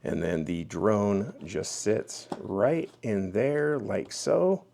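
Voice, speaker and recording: Man, low pitch, gravelly fry, casual product reviewer, hands-on demonstration talk. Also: very slow, dark tone, slight background noise. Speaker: product reviewer, channel host.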